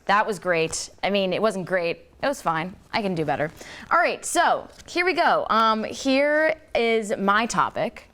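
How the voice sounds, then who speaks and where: Playful young woman hosting, lively pitch. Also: measured pace. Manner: playful and teasing, joking riffs. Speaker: host of a student talk show. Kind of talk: casual chat.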